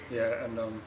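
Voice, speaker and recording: A man's voice: mid-range voice, noisy background. A young man from Bhutan, a student, talking casually and hesitantly with many fillers. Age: young adult. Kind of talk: casual chat. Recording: echoey room, far from the mic.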